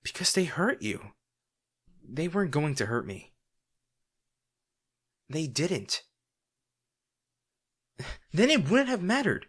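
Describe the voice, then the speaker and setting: A man playing a devoted, remorseful bodyguard to a noble lady in a fantasy audio drama, speaking in a formal, halting, earnest way. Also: fairly high pitch, big pitch swings, a bit bright, husky, exaggerated voice. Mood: impassioned, protective.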